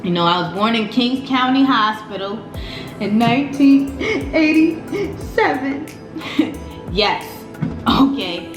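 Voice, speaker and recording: A woman's voice: a little high, expressive, slow, pauses often, echoey room, music in the background. A woman, chatting casually in a story-time vlog, slangy and repeating her phrases. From Brooklyn, New York City. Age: 30s. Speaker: vlogger.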